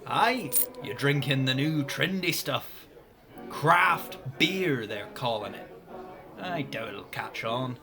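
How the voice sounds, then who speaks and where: A man's voice: mid-range voice, lively intonation, slow pace, music in the background. A man acting a chatty, boastful pub landlord of old times in a comic radio play, with folksy rustic speech.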